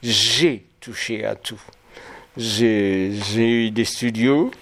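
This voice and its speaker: A man's voice: on the low side, extremely slow, a bit bright. A man in his seventies from Martinique, a photographer answering interview questions with many hesitations and restarts. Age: 70s. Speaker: photographer guest.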